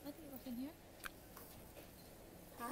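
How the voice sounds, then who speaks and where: A young woman friend near a concert venue, loud and playful, shouting bits across the noise. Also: sits high, steady tone, moderate pace. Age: young adult. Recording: loud music and crowd noise.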